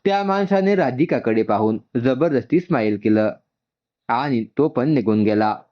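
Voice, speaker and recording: A man's voice: mid-range voice, lively intonation, moderate pace, dull audio. A man narrating a scripted story as a storyteller, voicing its dialogue along the way.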